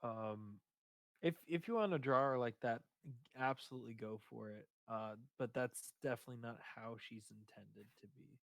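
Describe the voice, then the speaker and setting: A man with a booming voice chatting casually on a stream, rambling and hesitant, with many fillers and restarts. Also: moderate pace, vocal fry, no echo. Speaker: streamer discussing his game character. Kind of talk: casual chat.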